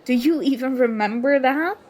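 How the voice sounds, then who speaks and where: A woman's voice: sits high, moderate pace, a little mellow. A woman acting an indignant, sarcastic young student confronting a former school enemy in an audio roleplay. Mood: accusing, hurt. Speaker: ASMR roleplay performer. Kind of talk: ASMR roleplay acting, one side of a dialogue.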